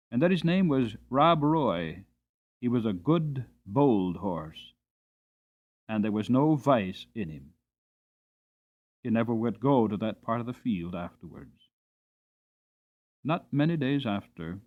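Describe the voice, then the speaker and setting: Male audiobook narrator, theatrical and deliberate, very animated intonation. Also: medium pitch, narrow, tinny audio. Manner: theatrical, deliberate, emphatic phrasing. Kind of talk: dramatic storybook narration in the first person.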